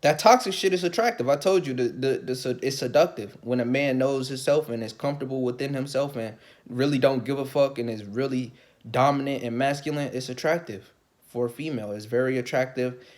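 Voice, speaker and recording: A man's voice: mid-range voice, a little fast. Rambling, cursing man ranting to camera. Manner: swearing, rambling, repeats himself, rises to loud excited outbursts; calls his own voice light. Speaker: vlogger. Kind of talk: casual rant to camera.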